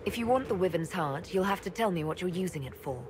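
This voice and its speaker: An actress playing a steady, businesslike leader of adventurers in a video game, direct and plain-spoken. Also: female voice, fairly low pitch, quick pace, background noise, close to an everyday voice. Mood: firm, questioning. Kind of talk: video game dialogue acting.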